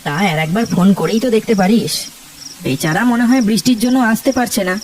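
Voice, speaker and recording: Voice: mid-range voice, lively intonation, quick pace, distant mic, a little hiss or hum, natural voice. A narrator voicing an easygoing young male college student, chummy and colloquial, in a cartoon ghost story. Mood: reassuring.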